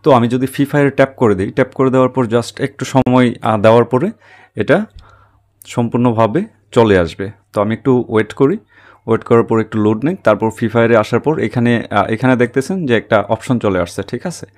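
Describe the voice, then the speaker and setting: A man's voice: mid-range voice, moderate pace, darkish tone. A man hosting a tech tutorial, explaining a screen recording step by step and often checking that listeners are following.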